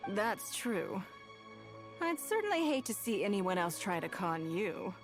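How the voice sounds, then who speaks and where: A woman's voice: mid-range voice, big pitch swings. A woman voicing a shrewd, poised noblewoman in an anime game, composed and formal, firm but kindly. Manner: composed, formal, measured authority. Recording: music behind the voice.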